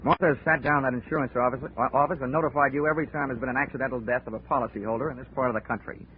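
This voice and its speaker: A man's voice: mid-range voice, steady tone, very fast, slight background noise, close to an everyday voice. An actor playing a hard-boiled male insurance investigator, narrating with dry wisecracks and blunt tough-guy talk in 1940s radio acting. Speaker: narrator and lead. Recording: tinny old radio broadcast with music.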